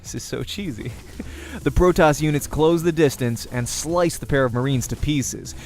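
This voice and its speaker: A man's voice: medium pitch, moderate pace, gravelly, darkish tone, soft background music. A man reading a visual novel aloud as a let's-player, narrating the game story expressively.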